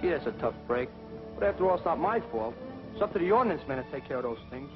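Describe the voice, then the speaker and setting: An actor playing a cocky, careless young American Navy pilot with a brash, slangy, whiny manner, in 1940s comic training film style. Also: male voice, on the high side, lively intonation, quick pace, lightly acted. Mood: sheepish, excusing. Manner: brash, slangy, self-excusing. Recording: dull old film soundtrack, loud music.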